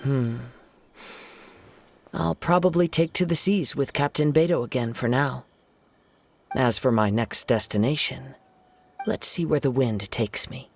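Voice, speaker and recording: An actor playing a gentle, reflective young wandering swordsman in a video game, speaking in a measured, formal, contemplative way. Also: mid-range voice, vocal fry. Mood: polite, resolute.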